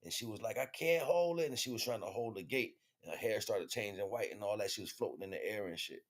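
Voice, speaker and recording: A man's voice: fairly low pitch, lively intonation, fast talker. An American man giving a casual spiritual monologue as a livestream host, in slangy, profane, conversational speech.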